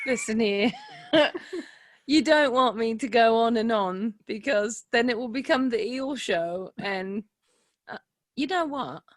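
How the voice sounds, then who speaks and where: A woman's voice: on the high side, animated, unhurried, no echo, clean studio sound. A woman voicing a put-upon, self-important starship lieutenant who grumbles in a huff. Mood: huffy.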